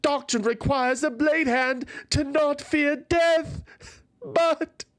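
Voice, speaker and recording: Young bird warrior woman, really high pitched, wounded n pleading, fantasy audio story. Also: slow pace. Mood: anguished despair. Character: anguished young feathered warrior woman, despairing, self-loathing.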